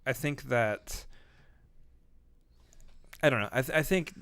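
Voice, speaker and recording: A man co-hosting a live-streamed chat, speaking quietly and rambling with plenty of fillers and restarts close to the microphone. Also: medium pitch, slow pace, clear, resonant tone. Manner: not boisterous, quieter delivery. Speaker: podcast co-host.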